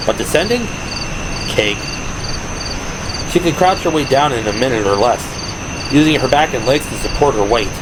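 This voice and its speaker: Guy narrating an audio drama, mid-pitched voice, crickets and noise behind him. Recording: noisy, night insect ambience behind. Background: crickets and ambient sound effects.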